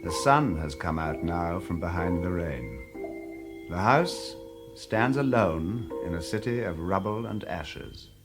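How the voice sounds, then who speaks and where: A man narrating a scripted audio drama in a measured, hushed storytelling manner. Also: low-pitched, lively intonation. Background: music throughout.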